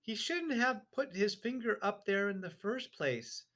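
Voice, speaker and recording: A man's voice: high voice, expressive, a little slow, a bit bright, pitched above the performer's own voice. A man doing a prim scolding mother. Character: bossy know-it-all mother, woman.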